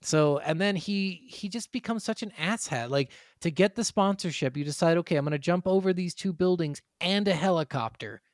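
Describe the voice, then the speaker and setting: Higher-voiced man, blunt casual gamer talk. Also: moderate pace, hardly any pauses, no room sound, studio quality. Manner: blunt, profane, emphatic. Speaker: podcast co-host. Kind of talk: casual chat about video games.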